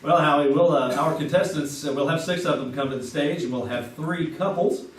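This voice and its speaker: A man's voice: fairly high pitch, fairly quick, slight background noise, lightly acted. A man playing a polished, polite 1950s radio game show announcer and sidekick on a live stage. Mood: polite, composed. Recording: roomy, distant stage recording with music.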